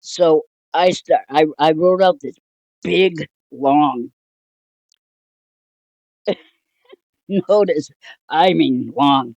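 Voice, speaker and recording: An older woman telling a personal story casually, in a rambling, halting, wryly amused way. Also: low-pitched, darkish tone, dry recording, rough recording. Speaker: storytelling vlogger.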